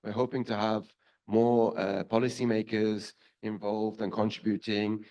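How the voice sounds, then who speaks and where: Low-voiced man moderating a panel. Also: flat delivery, unhurried, a little mellow, muffled recording. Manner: brief summaries, polite thanks. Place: conference hall.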